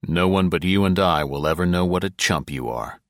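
A male narrator playing a tough, cool-headed American agent who speaks low and deliberately. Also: no room sound, studio quality, lightly acted.

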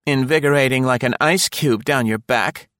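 Man voicing an irritable, higher-pitched wife. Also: normal speed, fairly bright tone, dry recording, studio quality, natural voice. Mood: irritable, sarcastic. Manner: blunt, complaining. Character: irritable, tired American wife, sarcastic. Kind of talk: audiobook character voice.

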